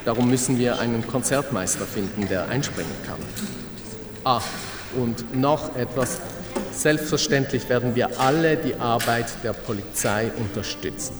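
An actor playing a shaken, formal orchestra manager making a halting, solemn speech to musicians in a radio drama. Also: male voice, mid-range voice, natural voice. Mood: businesslike, weary. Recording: echoey rehearsal hall with music behind.

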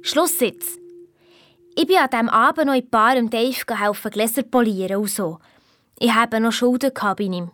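A woman's voice: fairly high pitch, moderate pace, fairly bright tone, dry recording, clean studio sound, lightly acted. A woman playing a confident, eager young hotel owners' daughter in a children's audio drama, telling her story with a Swiss German accent. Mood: matter-of-fact.

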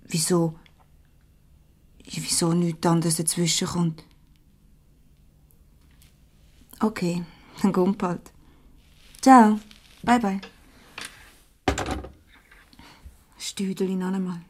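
An actress with a Swiss accent playing a chatty, easily exasperated young secretary in a radio comedy. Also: female voice, on the low side, flat delivery, a little slow, long pauses. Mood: hurt, sulky.

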